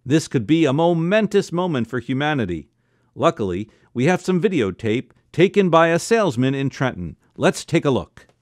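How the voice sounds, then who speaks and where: A man's voice: on the high side, very animated, moderate pace, darkish tone, no room sound, clean studio sound. A man voicing an earnest television anchorman in a story, delivering a formal news bulletin. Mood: urgent, serious.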